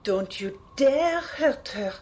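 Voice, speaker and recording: A woman playing a stern, defiant heroine in an audio drama, with formal, deliberate phrasing. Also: high voice, expressive, a little mellow, exaggerated voice. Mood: defiant anger. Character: defiant, stern heroine facing old enemies. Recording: clear background noise.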